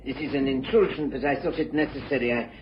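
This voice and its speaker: An actor playing an anxious, secretive scientist in a radio drama, with hesitant, polite, formal speech. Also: male voice, mid-range voice, steady tone, quick pace, close to an everyday voice. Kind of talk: old-time radio drama acting. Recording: noisy muffled old radio recording.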